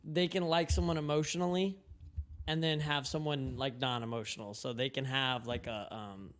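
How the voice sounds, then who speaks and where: A man's voice: medium pitch, steady tone, a bit bright. A man giving his opinions in casual panel chat, often repeating and restarting his phrases. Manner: repetitive, halting, filler-heavy phrasing. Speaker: podcast panelist.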